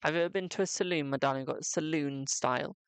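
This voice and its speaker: A man's voice: fairly high pitch, rapid-fire, gravelly, clean tone, no room sound. A man giving a live-stream psychic tarot reading, chatting to viewers and calling them "my darling".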